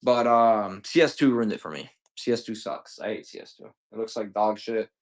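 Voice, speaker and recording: A man's voice: lowish voice, moderate pace. A man in his twenties chatting casually and profanely while selling on a livestream, rambling with lots of slang. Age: 20s. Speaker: livestream seller.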